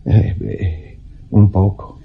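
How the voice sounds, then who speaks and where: A man's voice: low voice, level tone, drawn-out words, vocal fry, husky, exaggerated voice. An actor in a radio drama playing a weary, sorrowful husband, a broken man who speaks tersely and with resignation. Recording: dull, noisy lo-fi radio recording.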